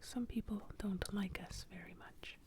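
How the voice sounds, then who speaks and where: A woman telling an improvised bedtime story in a soft ASMR whisper. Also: mid-range voice, fairly even intonation, unhurried.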